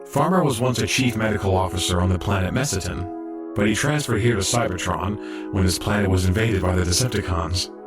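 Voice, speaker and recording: A man's voice: fairly low pitch, lightly acted. A man playing an earnest young robot trainee medic who narrates his story in an audio drama. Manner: earnest, explanatory.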